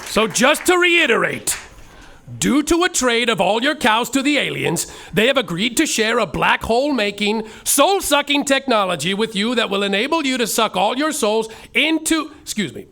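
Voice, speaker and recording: A man's voice: very high voice, lively intonation, moderate pace, gravelly, bright, forceful tone. A man narrating and playing a brash, profane detective in a live comedy musical podcast on stage. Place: theater stage before an audience.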